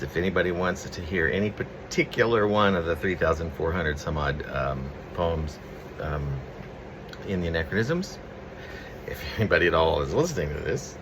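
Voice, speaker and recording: A man speaking as a poet sharing his own work, in a rambling, halting, conversational manner. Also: sits low, noisy background. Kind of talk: casual preamble and poetry reading.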